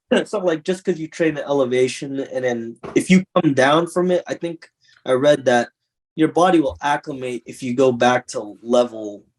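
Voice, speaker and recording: A man's voice: mid-range voice, moderate pace, poor audio. A young man co-hosting a podcast, chatting casually and animatedly, laughing often. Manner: animated, laughing, does mock call-out voices. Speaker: podcast co-host. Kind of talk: casual chat.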